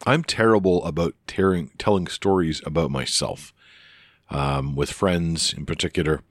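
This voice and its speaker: A man with a deep voice talking casually into a close microphone on his podcast, rambling and hesitant with many restarts and fillers. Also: moderate pace, dry recording. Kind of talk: casual spoken reply to another podcaster.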